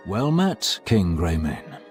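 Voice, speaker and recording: An actor playing a solemn, courteous elven regent in a game cinematic, measured and formal. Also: male voice, low voice, big pitch swings, a little mellow, faint music behind, full character voice.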